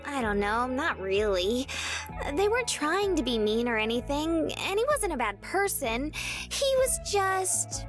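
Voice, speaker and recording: A woman's voice: sits high, sing-song, measured pace. An actress playing a nervous, chatty, teasing young woman in love in a visual novel game, with music behind her. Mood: chatty, candid. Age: young adult.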